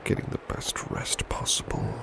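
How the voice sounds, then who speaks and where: A man playing a gentle, devoted servant in a whispered ASMR roleplay, soothing a beloved to sleep with tender, softly repeated phrases. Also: moderate pace, noisy background, close to an everyday voice. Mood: sleepy, tender. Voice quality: breathy whisper.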